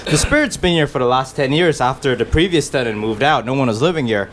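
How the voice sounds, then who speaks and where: A man's voice: mid-range voice, fast talker, slight background noise. A man born in Malaysia, telling a story in casual chat, laid-back, with many fillers and restarts and a wry sense of humor. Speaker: musician guest.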